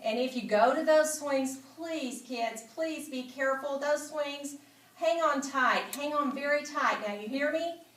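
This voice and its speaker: A woman's voice: sits high, unhurried, pitched above the performer's own voice. A woman voicing an anxious, fussing parent in a storybook, cautioning children with emphatic repetition. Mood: anxious warning. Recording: echoey room, distant mic.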